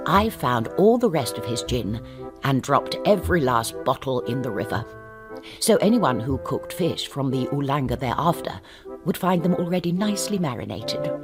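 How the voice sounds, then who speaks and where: A man narrating a radio drama in a wry, dryly humorous manner. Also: medium pitch. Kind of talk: scripted narration.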